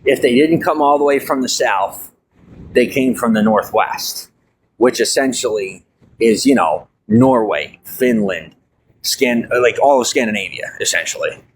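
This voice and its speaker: A man's voice: medium pitch, measured pace, a little hiss or hum, low-quality recording. An American man with a slight Norwegian-tinged accent, rambling casually with many fillers and restarts. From United States. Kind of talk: casual monologue.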